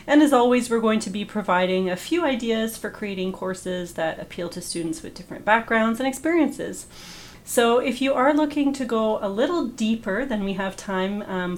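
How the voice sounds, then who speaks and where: A woman hosting a podcast from a radio studio, encouraging and friendly with many fillers. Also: mid-range voice. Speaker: podcast host. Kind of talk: podcast interview.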